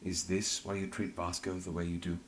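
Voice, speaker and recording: A composed leader voiced by a man talks in a deep voice with a measured, earnest manner. It is formal fantasy game dialogue over faint noise. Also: little pitch movement, close to an everyday voice. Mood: concerned, careful. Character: measured, concerned male investigator, tactful leader. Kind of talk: dramatic video game voice acting.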